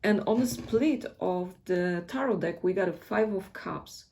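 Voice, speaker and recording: A woman's voice: fairly low pitch, measured pace, vocal fry, darkish tone. A woman with a Polish accent, a native Polish speaker, giving a casual tarot reading in a hesitant way and often searching for words. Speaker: tarot reader.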